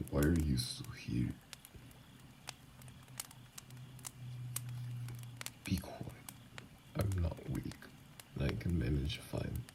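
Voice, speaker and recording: Male actor as wounded self-loathing vampire, heavy vocal fry, low pitch, near-whispered, brooding, halting, ASMR audio drama. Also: background noise, full character voice. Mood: defensive, angry. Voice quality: gravelly, breathy, often near whisper.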